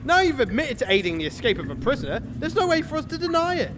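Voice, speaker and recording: A man's voice: very high-pitched, big pitch swings, fast, fairly bright tone, heavily acted. A man playing a pompous, blustering knight in a comedy sketch, grandiose and indignant in his delivery. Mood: indignant, alarmed. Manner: grandiose, indignant, mock-heroic. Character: pompous, blustering gentleman knight, foolhardy and self-important. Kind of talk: theatrical comedy sketch acting.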